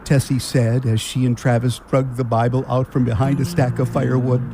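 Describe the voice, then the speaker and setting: A man's voice: mid-range voice, little pitch movement, normal speed, warm tone, slight background noise. A man narrating a scripted children's story as the narrator of a radio drama. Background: guitar music.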